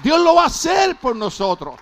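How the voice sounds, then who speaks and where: A man's voice: very high voice, wildly swooping pitch, normal speed. An older man from Puerto Rico with a Puerto Rican Spanish accent, preaching a sermon and asking his congregation questions. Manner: builds to loud, emphatic climaxes. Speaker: pastor.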